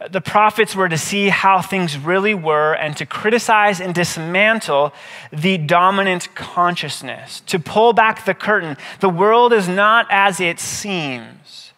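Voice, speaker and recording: A man in his thirties, a pastor giving a measured, scripted sermon with deliberate phrasing. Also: sits high, sharp tone. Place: indoor stage, seated at a table. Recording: reverberant hall.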